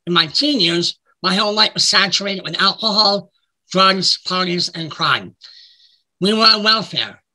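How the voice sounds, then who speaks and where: High, bright-voiced American man with a cold. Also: low-quality recording. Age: middle-aged. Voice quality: speech impediment. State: has a cold. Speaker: witness giving testimony. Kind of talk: formal prepared testimony, read aloud. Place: indoor room, on a video call.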